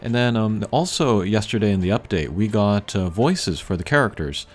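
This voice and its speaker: A man giving casual, unscripted gameplay commentary in a rambling, low-key way with frequent hesitant pauses. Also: animated. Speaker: game video creator. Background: video game music.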